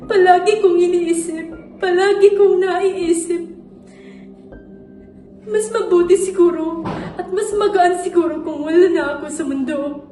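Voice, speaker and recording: A young woman acting an exhausted, despairing student, speaking haltingly and repeating her words. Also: very high-pitched, steady tone, warm tone. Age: young adult. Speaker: student actor. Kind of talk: stage monologue. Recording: echoey room, distant mic, music.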